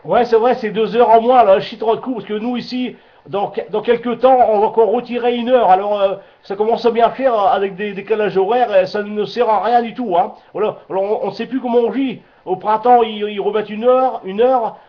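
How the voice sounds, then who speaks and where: A high-pitched man talks casually over a dull two-way radio link. He rambles along as an amateur radio operator, circling back on his words and padding them with little tags. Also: steady tone, a little fast. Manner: rambling, repeats himself, frequent filler tags. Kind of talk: casual radio contact chat.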